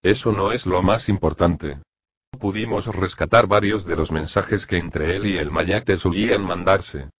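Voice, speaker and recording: A man's voice playing a methodical older police forensic doctor, explaining formally and in measured phrases in a crime drama. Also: sits low, husky. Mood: clinical. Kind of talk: scripted machinima crime drama dialogue.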